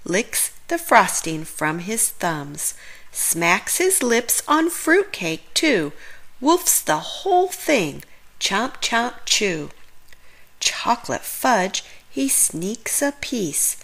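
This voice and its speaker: Very slow, animated female storybook reader. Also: a little high. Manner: expressive, drawn-out words and dramatic pauses. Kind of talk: read-aloud picture book narration.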